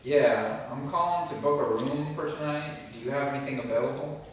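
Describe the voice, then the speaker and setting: A man's voice: medium pitch, normal speed, natural voice. A man reading the part of a defensive, curt brother of about thirty in a film script, with a dry delivery. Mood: matter-of-fact. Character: Mark, defensive, curt thirty-year-old younger brother. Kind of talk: table read of a film script. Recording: echoey room from far off, faint noise.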